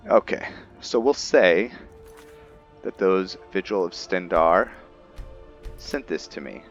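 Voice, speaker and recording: A man giving casual commentary on his roleplay game, thinking aloud in a halting way. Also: low-pitched, animated, dull audio, soft background music. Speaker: gamer narrating a roleplay playthrough.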